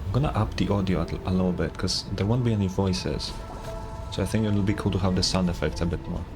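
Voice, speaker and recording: Male game streamer: casual, muttered, offhand commentary over soft background music. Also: deep voice, fast.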